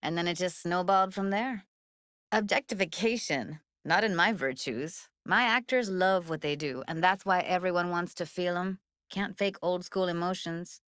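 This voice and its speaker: A woman's voice: lowish voice, normal speed, fairly bright tone, dry recording, clean studio sound, close to an everyday voice. An actress voicing a tough, guarded young woman techie in a cyberpunk video game, speaking in casual, clipped slang with a wry edge. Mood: earnest, guarded. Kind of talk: naturalistic video game dialogue, isolated voice lines.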